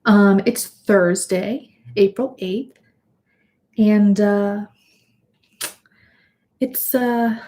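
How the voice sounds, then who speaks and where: A woman's voice: mid-range voice, fairly even intonation, very slow, pauses often, dark tone. A young woman chatting casually on a livestream from home, a bit sniffly from allergies, rambling with fillers and restarts. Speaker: vlogger hosting a livestream. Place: at home indoors.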